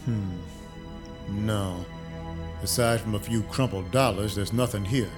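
A man's voice: lowish voice, a little slow, natural voice. An actor playing a wry, hard-boiled vampire detective in an audio drama, dry, matter-of-fact and clipped in his delivery. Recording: music under the voice. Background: music.

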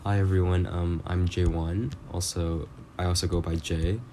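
A man of twenty introducing himself casually, hesitant and pausing with many ums. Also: low-pitched, level tone, dark tone, slight background noise. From South Korea, raised abroad. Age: 20s. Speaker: student guest.

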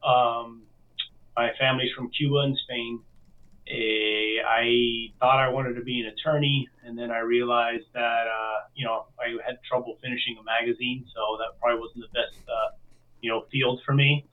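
A man's voice: medium pitch, level tone, normal speed. Miami guy being interviewed, casual storytelling, sounds far from the mic on a tinny phone-like call. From Miami, United States. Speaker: interview guest, artist. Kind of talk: casual chat, telling own story. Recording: distant, thin phone-like call audio.

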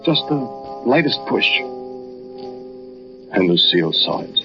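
An actor playing a brooding, jealous murderer, narrating with deliberate intensity in a 1940s radio drama. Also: male voice, fairly low pitch, sing-song. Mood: brooding confession. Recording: muffled 1940s radio recording, loud music behind.

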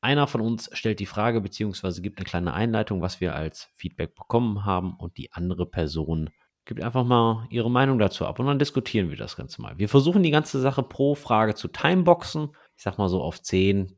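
A German man co-hosting a podcast, chatting casually and telling lively anecdotes. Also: on the low side. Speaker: podcast co-host.

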